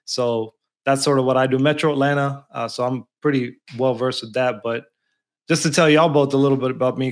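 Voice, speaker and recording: A man who is a guest on a casual podcast, talking in an easygoing, conversational way and often saying "man". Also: mid-range voice.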